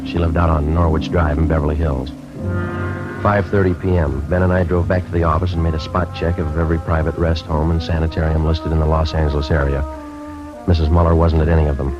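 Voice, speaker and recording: Deep, deadpan American detective played by a man, old radio. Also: close to an everyday voice. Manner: deadpan, terse, matter-of-fact. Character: dry, businesslike male police detective narrating his case. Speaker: detective and narrator. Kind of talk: 1950s radio police drama, clipped procedural narration. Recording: dull 1950s radio recording with music.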